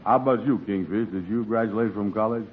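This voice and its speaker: An actor playing a lazy, slow-witted male pal with naive, drawling dialect speech, in 1940s radio comedy. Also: on the low side, natural voice. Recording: tinny old radio broadcast with faint hiss.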